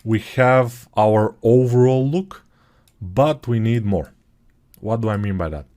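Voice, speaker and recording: Chatty Bulgarian-accented man, lowish voice. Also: slow pace, dark tone. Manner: chatty, digressive, self-correcting. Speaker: 3D modeling course instructor. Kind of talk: software tutorial walkthrough.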